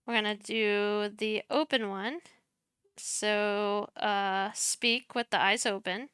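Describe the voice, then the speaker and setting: A young woman talks through a streaming tutorial on a headset, soft-spoken and chatty, muttering to herself as she clicks. Her voice is bright and very clear, and she takes her time. The sound is close and dry, with no room around it. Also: mid-range voice, steady tone, slow pace, no room sound. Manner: chatty, self-deprecating, mutters while clicking. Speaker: streamer teaching streaming setup. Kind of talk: casual screen-share tutorial.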